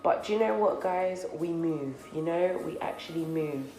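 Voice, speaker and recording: A chatty young woman talks casually to her viewers, rambling a little as she does her makeup. Her voice is low for a woman and slightly nasal from a cold. The room sounds echoey, with faint music underneath. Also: moderate pace, warm tone. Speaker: beauty vlogger. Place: co-working office space, indoors.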